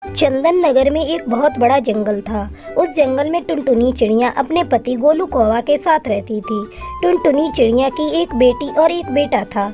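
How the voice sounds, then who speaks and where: Casting: female narrator for children's animated tales, very high voice, scripted delivery, faint music bed. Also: normal speed.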